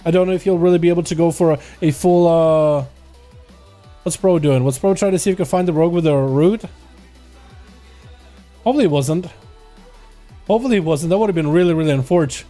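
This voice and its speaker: A young man with a slight Russian accent, casting live game duels with fast, excitable play-by-play. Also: a little high, soft background music. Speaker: streamer and game commentator. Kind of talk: live esports commentary.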